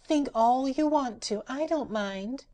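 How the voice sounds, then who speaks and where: A woman's voice: high voice, slow, warm tone, voice pushed up. A woman voicing a giddy, boastful female patchwork rag doll who chants sing-song rhymes. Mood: gleeful.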